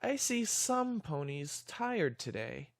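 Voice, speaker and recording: A man's voice: a little high, big pitch swings, slow, vocal fry, no room sound, clean studio sound, exaggerated voice. A man playing a friendly father pony in an audio drama, with a snarky, teasing manner.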